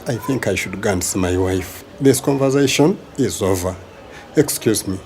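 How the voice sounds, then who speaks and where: A man's voice: lowish voice, moderate pace, lightly acted. Stubborn, indignant Ugandan husband played by a man. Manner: indignant, rigid. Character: stubborn, devout husband and church leader. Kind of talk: radio drama acting.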